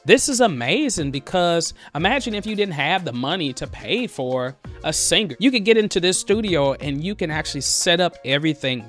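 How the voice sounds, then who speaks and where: A man in his thirties talks through a music app with eager, chatty energy. He speaks into a headset mic in a home studio. Soft music plays faintly behind him. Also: on the high side, moderate pace, hardly any pauses. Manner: enthusiastic, conversational, excitable. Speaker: music producer, tutorial host. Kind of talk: screen-share software tutorial. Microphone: headset with boom mic.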